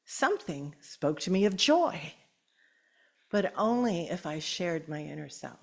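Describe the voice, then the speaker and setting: An older woman telling a personal story at a church gathering and reading her own poem aloud, with gentle laughter as she talks. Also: sits low, vocal fry, breathy edge. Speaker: church speaker.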